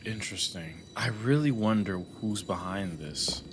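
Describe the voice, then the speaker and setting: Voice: sits low, a little slow, noisy background. A streamer reacting casually to a video game, teasing and swearing lightly. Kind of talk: casual gameplay reaction, reading some lines aloud. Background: video game music.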